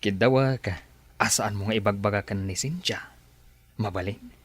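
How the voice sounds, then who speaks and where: A man's voice: fairly low pitch, normal speed, husky, no echo. A man acting a persuasive, scheming boss in an Ilocano radio drama, with an Ilocano Filipino accent. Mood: coaxing, probing.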